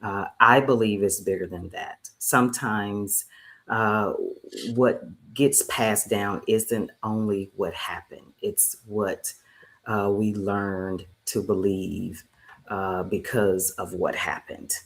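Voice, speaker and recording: A woman who works as a peer recovery specialist and life coach, speaking on a video-call panel in deliberate, list-like phrasing. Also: rumbling low, fairly even intonation. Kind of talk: panel discussion.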